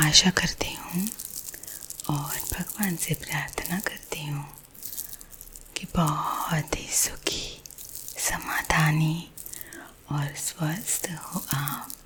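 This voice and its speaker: A woman with an Indian accent guiding sleep hypnosis in a husky, breathy ASMR whisper, gentle and soothing. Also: deep voice, steady tone. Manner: slow, soothing, gentle, hushed. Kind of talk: whispered ASMR guided visualisation. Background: soothing music.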